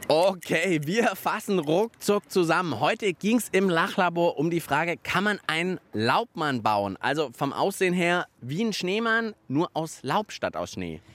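A man's voice: a little high, sing-song, a little slow, few pauses, fairly bright tone. A man hosting a children's science show, chatting cheerfully and laughing easily. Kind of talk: casual presenting for children.

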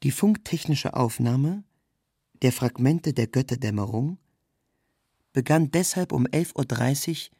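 Husky man reading in a dry studio. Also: mid-range voice, expressive, a little slow, mellow, no echo. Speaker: narrator. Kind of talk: scripted literary narration.

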